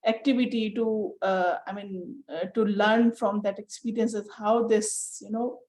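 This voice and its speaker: A woman's voice: medium pitch, fairly even intonation, measured pace, a little mellow. A woman with an Indian English accent asking a question hesitantly, with fillers, in an online training session. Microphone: video call.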